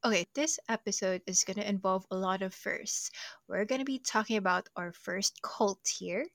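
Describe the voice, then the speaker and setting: A woman's voice: mid-range voice, normal speed, a bit bright, no echo, studio quality. A young adult woman hosting a true crime podcast, narrating casually with jokey, self-deprecating asides. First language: not Portuguese. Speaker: podcast host.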